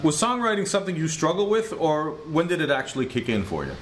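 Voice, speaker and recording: A man interviewing a rock singer backstage, eager, asking leading questions and backing him with steady "mm-hmm"s. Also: fairly high pitch, fast talker, slight background noise. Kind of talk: music interview. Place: backstage at a concert venue.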